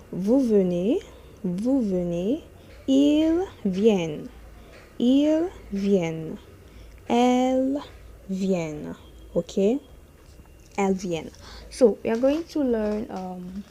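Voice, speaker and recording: A woman teaching a beginner French lesson, saying each phrase slowly and more than once and checking in with "okay?" and "hmm?". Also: medium pitch, dark tone.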